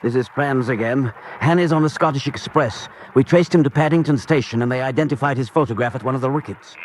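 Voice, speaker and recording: A man's voice: medium pitch, lively intonation, normal speed, a bit bright, slight background noise, lightly acted. An actor playing an urgent henchman spy reporting to his boss in an old-fashioned radio drama.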